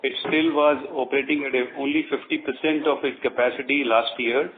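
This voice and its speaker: A man's voice: mid-range voice, fairly even intonation, brisk. A man with an Indian accent explaining business figures off the cuff as a finance executive on an earnings call. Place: conference call. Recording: thin phone line with noise.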